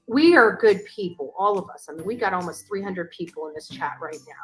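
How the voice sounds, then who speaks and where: A woman with a slightly low voice speaks off the cuff to her livestream. She is earnest and halting, with faint music in the background. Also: animated, poor audio. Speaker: livestreamer addressing a chat. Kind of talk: unscripted livestream talk.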